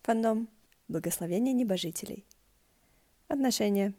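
A woman reading fan fiction aloud as an expressive audiobook narration. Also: medium pitch, normal speed, no room sound.

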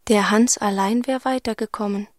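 An actress playing a grave chorus narrator in a literary radio drama, speaking in terse, measured phrases. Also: female voice, mid-range voice, fairly even intonation, dry recording, studio quality, natural voice. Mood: grave, tense.

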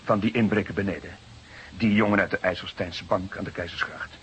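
Deep, hesitant policeman, played by a man. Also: fairly even intonation, highs cut off, slight background noise, lightly acted. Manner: halting, apologetic. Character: hesitant, dutiful male police subordinate. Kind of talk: radio drama acting.

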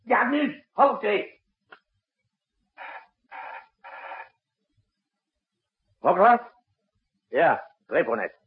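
A husky, commanding wartime president. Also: male voice, high voice, wildly swooping pitch, takes it slowly, heavily acted. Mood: urgent. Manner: curt, authoritative, gives clipped orders. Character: commanding, brisk male president directing a night-time military crisis. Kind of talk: 1960s Dutch radio drama acting. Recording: muffled, husky-sounding old radio broadcast recording.

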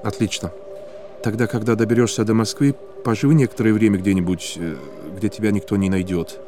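A man's voice: lowish voice, fairly even intonation, brisk, over music, natural voice. A man voicing a composed, pragmatic male boss on the phone in a dramatized audiobook. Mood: calm, guarded.

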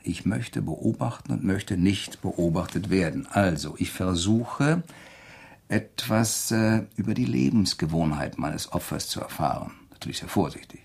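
A gravelly, insinuating writer-sleuth. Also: male voice, sits low, expressive, a little mellow, heavily acted. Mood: insinuating, intense. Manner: deliberate, polite, provoking. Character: male writer, probing and coolly insinuating murder theorist. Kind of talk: German crime radio play acting.

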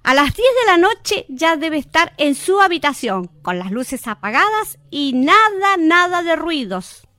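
A woman with an Argentine accent playing a strict, officious care-home attendant in a radio drama, with brisk delivery. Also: very high-pitched, big pitch swings, pushed and bright, no room sound, exaggerated voice. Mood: bossy.

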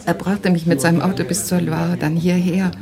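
An actress playing an anguished young refugee wife in a radio play, speaking haltingly and intensely, at times breathy. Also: female voice, on the low side, flat delivery, a crowd in the background, close to an everyday voice. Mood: haunted recollection. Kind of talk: dramatic radio play acting.

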